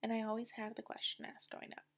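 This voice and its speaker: A young woman from the United States reading a personal essay aloud in a soft, often half-whispered way. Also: fairly high pitch, monotone, quick pace.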